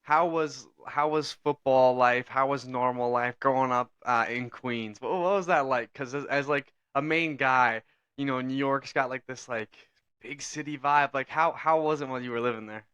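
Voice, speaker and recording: Brief: young male podcast host, easygoing, crisp bright tone, dry close sound. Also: mid-range voice, moderate pace, no echo, muffled recording. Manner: easygoing, asks rambling questions. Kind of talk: casual interview.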